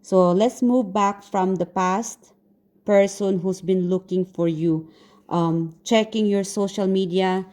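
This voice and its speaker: Woman, Filipino accent, tarot reader, halting with many fillers, warm dark tone. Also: mid-range voice, fairly even intonation. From Philippines. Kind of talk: casual tarot reading to viewers.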